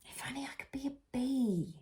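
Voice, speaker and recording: A woman voicing a big, honey-loving male bear from a picture book, sighing wistfully in a breathy voice. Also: sits high, expressive, fairly quick, mellow, slight background noise, voice pushed up. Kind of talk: children's picture-book character voice.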